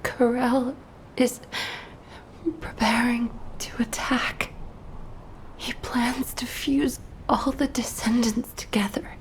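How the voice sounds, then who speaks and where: A woman playing a grieving, frightened young woman in a video game cutscene, hushed, breathy and pleading. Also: on the high side, steady tone, takes it slowly, in a whisper, rough recording. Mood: urgent, hushed.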